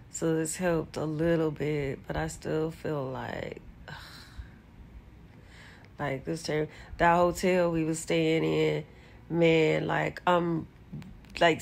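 A middle-aged woman with a low, gravelly voice and a congested, stuffy sound, chatting to her phone while sitting on a bed. She talks in a relaxed, candid way and now and then jumps up in exasperation. A faint hiss sits behind her. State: congested from allergies. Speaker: vlogger. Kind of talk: casual chat to camera. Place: bedroom, sitting on a bed indoors. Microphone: phone camera held close.

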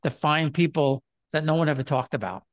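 Older male host, unhurried and hesitant, speaking through a headset on a video call. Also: fairly high pitch, lively intonation. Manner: rambling, hesitant with many "um" and "uh". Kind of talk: casual conversation.

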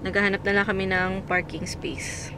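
Filipino woman vlogging over loud background noise. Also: medium pitch, steady tone, fairly quick. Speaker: family vlogger. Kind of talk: casual vlog chat. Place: inside a car, then shopping mall and restaurant. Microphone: handheld camera at arm's length. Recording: noisy car and mall ambience.

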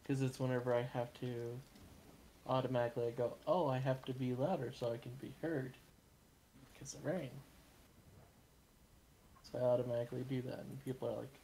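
A man chatting casually while livestreaming a game, rambling and swearing freely, with a booming voice. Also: little pitch movement, normal speed, pauses often, warm tone, a little hiss or hum, poor audio. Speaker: streamer. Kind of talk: casual gaming stream chat.

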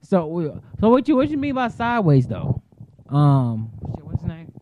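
A man's voice: a little high, huge pitch swings, normal speed. A man co-hosting a casual podcast chat, joking around in a loose, profane way, streamed live from a phone. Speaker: podcast co-host. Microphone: phone livestream. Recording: dry tinny phone-like sound.

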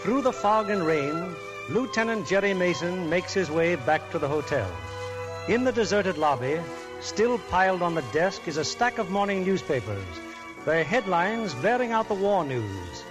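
A man narrating scenes for a 1940s radio drama in a crisp, formal, dramatic manner. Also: high-pitched, animated, moderate pace. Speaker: narrator and announcer. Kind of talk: scripted narration. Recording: narration over music bed, 1940s radio.

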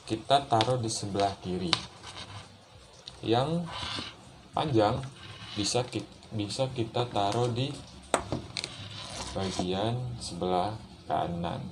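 A man giving a casual hands-on tutorial, explaining step by step in an informal, halting way. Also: on the low side. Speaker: motorcycle vlogger presenting a how-to. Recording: noticeable background noise, room ambience.

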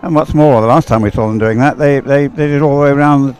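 Man giving halting live race commentary. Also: mid-range voice, darkish tone. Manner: unhurried, halting delivery in short phrases. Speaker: sports commentator.

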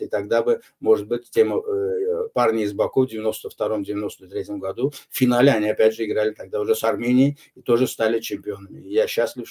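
Older man, low mellow voice, deliberate interview answers full of pauses. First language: Azerbaijani. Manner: measured, unhurried, frequent hesitations. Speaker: interview guest. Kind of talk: recorded online interview answers.

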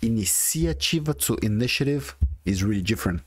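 Brazilian man with a low, dry voice. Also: lively intonation, brisk, no echo. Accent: Brazilian Portuguese. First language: Portuguese. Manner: thinks aloud, chatty, restarts phrases. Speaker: gaming video creator. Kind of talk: casual gameplay commentary.

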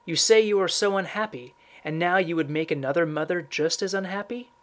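A man's voice: sits high, brisk, fairly bright tone, pitched above the performer's own voice. A grave storybook reaper, played by a male narrator, stern and formal. He makes firm declarations in a slightly raised male voice, as the gardener of human lives.

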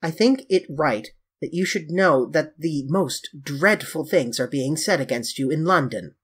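A man voicing a grave, earnest English gentleman painter, solemn and pleading, in an audiobook story. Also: expressive, measured pace, slightly rough, heavily acted. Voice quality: deep.

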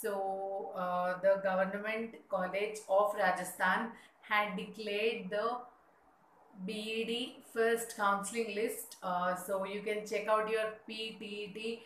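Woman, mid-pitched voice, steady explainer with uh fillers, roomy sound. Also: slow, slight background noise. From India. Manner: steady explanatory, frequent "uh" fillers, gestures with hands. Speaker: education channel host. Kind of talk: informational vlog. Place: small indoor room. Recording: echoey room with faint ambience.

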